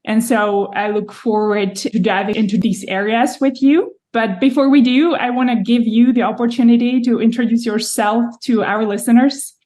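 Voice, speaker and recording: A woman hosting a podcast, reading a measured, reflective introduction and then welcoming her guest. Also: on the high side, fairly even intonation, darkish tone. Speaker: podcast host.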